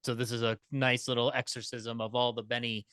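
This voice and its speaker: A man with a crisp, forward voice, recorded close and dry. He speaks as a podcaster on a panel, in a wordy, fannish, casual way. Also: medium pitch, level tone, fairly quick, fairly bright tone, no echo.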